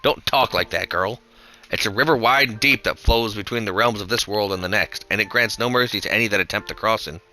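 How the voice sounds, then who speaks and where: A man's voice: lowish voice, brisk, pushed and bright. A man voicing a fierce, tough old grandmother who speaks bluntly in coarse rural speech. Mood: stern.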